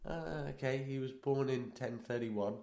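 A man with a cold co-hosting a podcast, joking, teasing and reading aloud haltingly. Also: mid-range voice, vocal fry, mellow, reverberant. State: has a cold. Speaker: podcast co-host. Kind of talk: casual banter.

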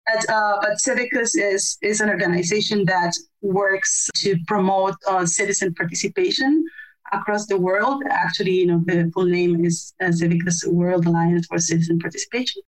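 A woman's voice: medium pitch, fairly even intonation, moderate pace, rough recording. A middle-aged woman from Argentina, a podcast guest answering at length in a thoughtful, conversational way with many fillers and restarts. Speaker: podcast guest, civil society researcher.

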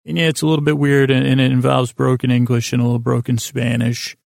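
Creaky, meandering American man. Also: mid-range voice, flat delivery, darkish tone, no echo, studio quality. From United States. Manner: meandering, halting, repeats himself, drifts into tangents. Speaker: podcast host. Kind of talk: rambling bedtime monologue.

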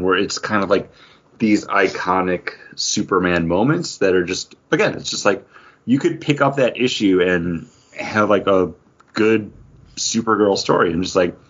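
A man's voice: low voice, a bit bright, slightly rough. A man chatting casually on a podcast review, phrasing his thoughts in hesitant pieces. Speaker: podcast panelist. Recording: dull, lo-fi audio.

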